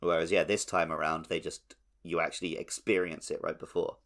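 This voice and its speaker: A man with a somewhat low-pitched voice speaks as a podcast co-host. He talks in an informal, conversational style, as in a chat about games. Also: little pitch movement, a little fast, fairly bright tone. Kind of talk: casual gaming podcast chat.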